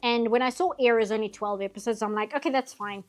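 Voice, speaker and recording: Chatty woman streamer with soft music. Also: on the high side, fast. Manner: chatty, laughs often, rambling asides. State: voice getting hoarse, late at night. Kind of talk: casual chat while drawing.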